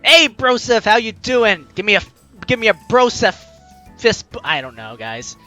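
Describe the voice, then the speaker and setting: High male voice, bright, animated, gaming host, chatty read-aloud with casual commentary, music behind. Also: moderate pace, highs cut off. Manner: animated, chatty, reacts playfully aside to the game. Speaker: gaming video host, let's player. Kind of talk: let's play: reading game narration aloud with casual commentary. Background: game music.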